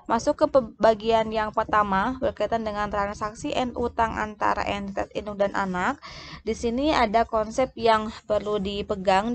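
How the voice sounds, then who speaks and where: A woman with an Indonesian accent giving a recorded lesson step by step, in a halting way that often breaks off and restarts words. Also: a little high, creaky, slight background noise. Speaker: accounting lecturer. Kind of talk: recorded lecture, explaining step by step.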